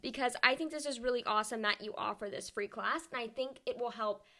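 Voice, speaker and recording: A woman's voice: on the high side, a little fast, pushed and bright. A woman hosting a podcast interview, upbeat and enthusiastic in her praise. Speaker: podcast host. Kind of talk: casual interview.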